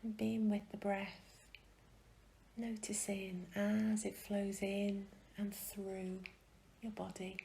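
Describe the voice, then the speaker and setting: A woman gently guiding a meditation in a soft, breathy, often near-whispered voice, soothing and unhurried, with long pauses. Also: medium pitch, level tone. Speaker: meditation guide. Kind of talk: guided meditation.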